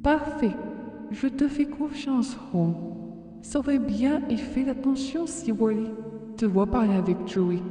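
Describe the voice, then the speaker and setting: A performer voicing a gentle, watchful parent spirit in a comic dub, with heavy reverb on the voice. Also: mid-range voice, slow. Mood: quietly trusting. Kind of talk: dramatic comic dub monologue. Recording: distant, very echoey hall reverb, music behind.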